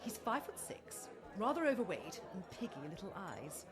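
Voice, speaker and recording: A woman's voice: medium pitch, big pitch swings, normal speed, music in the background, crowd noise, lightly acted. A woman playing a gushing, genteel middle-aged widow who runs a dating agency in a radio play, effusive and chatty. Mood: excited gossip.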